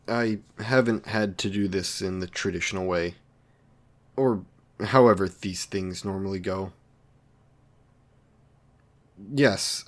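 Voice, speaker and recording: A man playing a lonely, awkward villain in an audio romance roleplay, earnest and anxious to please, speaking haltingly and apologetically. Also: fairly low pitch. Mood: hesitant, earnest.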